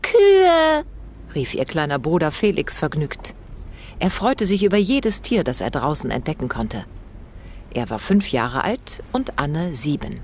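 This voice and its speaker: A woman narrating a scripted children's bedtime story in an expressive read-aloud manner. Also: low voice, a little slow, a little hiss or hum.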